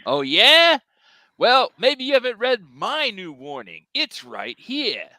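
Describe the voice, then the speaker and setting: Performer acting out boastful cartoon dad creature, exaggerated, very animated pitch, bright, dry sound. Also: takes it slowly, no room sound, exaggerated voice. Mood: defiant. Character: brash, boastful cartoon Pac-Man dad. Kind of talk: live cartoon script table read.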